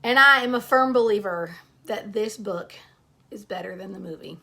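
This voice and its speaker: A woman reading a children's picture book aloud, pausing between short phrases and speaking directly to young listeners. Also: medium pitch, vocal fry, bright, forceful tone.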